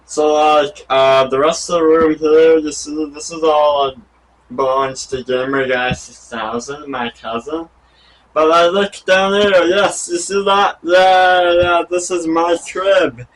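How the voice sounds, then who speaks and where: A man hosting a goofy comedy vlog, raspy from a cold, talking hesitantly with many ums and restarts. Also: a little high, fairly even intonation, takes it slowly, rough recording. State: has a cold. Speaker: vlog host.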